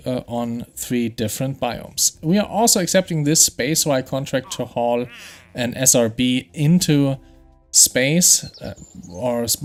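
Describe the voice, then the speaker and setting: German-accented man narrating gameplay. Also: medium pitch. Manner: explanatory, chatty, thinks aloud with fillers and restarts. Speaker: gaming channel host. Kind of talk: game playthrough commentary.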